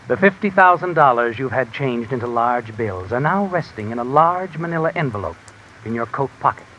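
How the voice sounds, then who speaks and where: A man's voice: medium pitch, lively intonation, normal speed, a bit bright, a little hiss or hum, lightly acted. A man playing an all-knowing, sinister narrator, taunting and theatrical, in 1950s radio mystery style. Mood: ominous, knowing. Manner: taunting, theatrical, addresses the character directly. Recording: muffled old radio recording.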